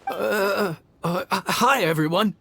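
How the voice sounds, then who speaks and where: A man's voice: high-pitched, slow pace, gravelly, heavily acted. A man playing a painfully timid young cook in a video game, hesitant and stammering, with music behind him. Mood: nervous.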